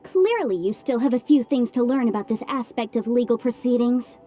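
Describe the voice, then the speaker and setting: Woman as a high-voiced, formal young legal adviser. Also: fairly quick, natural voice. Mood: firm, lecturing. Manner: formal, articulate, lecturing. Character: young woman legal adviser, courteous, brisk, self-assured. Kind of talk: anime fantasy game voice acting.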